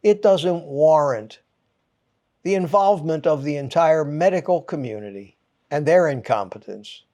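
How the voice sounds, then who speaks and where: Man, channel host, ranting monologue, exasperated, emphatic, swears, mild head cold, slow delivery. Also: on the high side, animated, warm tone. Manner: emphatic, exasperated, punchy short phrases with pauses.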